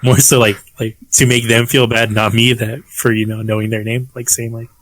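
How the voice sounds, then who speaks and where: A young man with a mid-pitched, everyday voice co-hosting a podcast from home over a call. He rambles loosely, reaching for words and filling gaps with "like," and swears casually. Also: flat delivery, moderate pace, a bit bright, no room sound, rough recording. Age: young adult. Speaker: podcast co-host. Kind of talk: casual chat.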